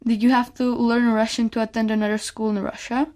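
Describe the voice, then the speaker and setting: Female actor as a high-voiced, curious little girl. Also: little pitch movement, brisk, a little mellow, close to an everyday voice. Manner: inquisitive, pleading. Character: curious, eager seven-year-old daughter. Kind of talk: scripted radio play acting.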